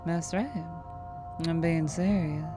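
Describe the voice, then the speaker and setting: A woman's voice: deep voice, music in the background. A woman voicing a teasing, menacing, sweet-talking vampire trucker with a Southern US accent, in an intimate ASMR roleplay. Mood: warm, sincere. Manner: slow, teasing drawl with pet names and chuckles.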